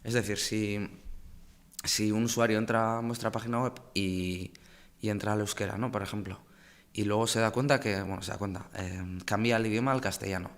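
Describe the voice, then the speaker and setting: Guy on a webinar call, lowish voice, lots of ums and restarts. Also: steady tone, normal speed. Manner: frequent fillers and self-corrections. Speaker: webinar presenter on web setup. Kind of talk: hesitant technical explanation.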